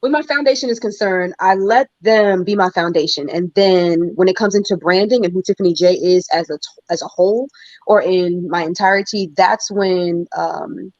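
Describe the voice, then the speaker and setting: Measured, reflective woman, muffled dry sound. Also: fairly low pitch, a little mellow, no echo. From New York. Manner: reflective, thoughtful, measured with fillers. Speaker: singer guest. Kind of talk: casual interview talk.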